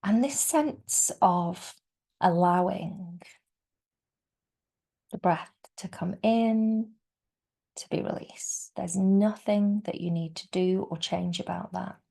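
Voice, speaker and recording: A woman leading a guided yoga nidra meditation in a soft, soothing, unhurried way, often trailing off into a breathy near-whisper. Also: fairly low pitch. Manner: soft, soothing, unhurried delivery with gentle pauses.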